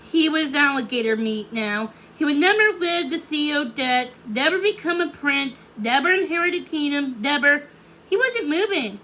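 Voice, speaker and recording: A woman reading a children's story aloud as narrator, halting now and then over words. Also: sits high, bright, forceful tone, slight background noise, poor audio.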